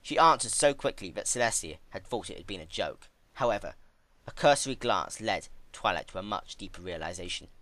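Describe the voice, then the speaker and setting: A man narrating a dark story in a theatrical, intense, scripted dramatic style. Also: lowish voice, expressive, a little fast, no echo. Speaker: fan fiction narrator.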